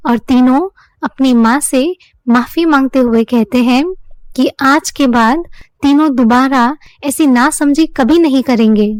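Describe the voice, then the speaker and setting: A woman's voice: high-pitched, no echo. A woman giving scripted narration of children's moral stories.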